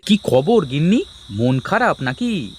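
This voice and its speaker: A man's voice: fairly high pitch, sing-song, slow, slight background noise. A man playing an easygoing, reassuring husband in a cartoon family drama. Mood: soothing.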